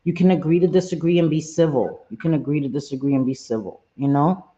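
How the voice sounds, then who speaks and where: A woman with a husky smoker's voice hosting a live stream, talking bluntly and earnestly, often repeating herself, with some salty language. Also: deep voice, quick pace, warm tone. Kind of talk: candid livestream chat, reading chat comments. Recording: muffled roomy home stream audio.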